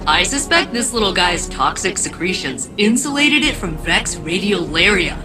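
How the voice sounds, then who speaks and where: A woman's voice: medium pitch, big pitch swings, moderate pace, over music, close to an everyday voice. A woman voicing a prim, analytical artificial intelligence in a video game, precise, formal and politely curious. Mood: intrigued, courteous. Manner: precise, formal, technical wording.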